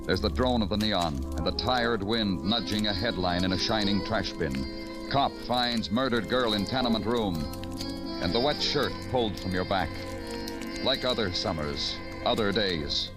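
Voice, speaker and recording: Man acting a low-voiced, brooding radio detective. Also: loud music behind the voice, close to an everyday voice. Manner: brooding, measured, poetic delivery. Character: weary, brooding male police detective narrating his case. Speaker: lead actor and narrator of a radio detective drama. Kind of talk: old-time radio crime drama acting, noir narration.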